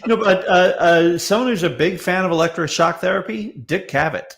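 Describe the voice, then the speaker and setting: Male panel guest, slightly high voice, wry, stop-start casual comic chat. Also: fairly quick, vocal fry, fairly bright tone. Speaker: guest commentator.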